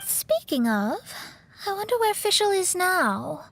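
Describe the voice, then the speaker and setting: Game astrologist, young woman: haughty, emphatic, high and expressive, given to theatrics. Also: slow, gravelly, heavily acted. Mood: composed, inquisitive. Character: proud, dramatic young female astrologist. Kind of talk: anime-style video game acting.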